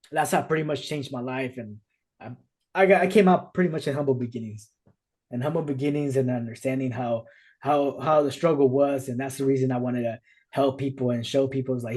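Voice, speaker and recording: A man's voice: medium pitch, darkish tone. A man whose first language is Spanish, hosting a podcast over a video call and telling his own story earnestly, with many restarts. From United States. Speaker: podcast host. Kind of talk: casual conversation.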